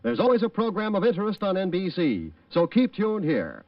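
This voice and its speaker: High, animated American radio announcer man. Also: a little fast, dry recording. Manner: formal, polished. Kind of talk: 1940s radio announcing, scripted. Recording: muffled vintage radio recording, distant. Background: music.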